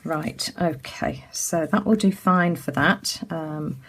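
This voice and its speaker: A woman from the UK giving a casual craft tutorial as she works, chatty and full of ums, her voice a little croaky. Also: deep voice, fairly even intonation, takes it slowly, background noise. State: slightly croaky. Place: indoors at a craft table.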